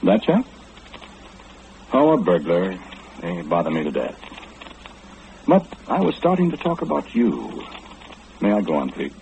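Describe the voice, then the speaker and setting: A man playing a courteous, eccentric, all-knowing gentleman in an old-time radio drama, speaking with formal, deliberate enunciation. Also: low voice, wildly swooping pitch, vocal fry, heavily acted. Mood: earnest, probing. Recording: muffled, noisy old radio recording.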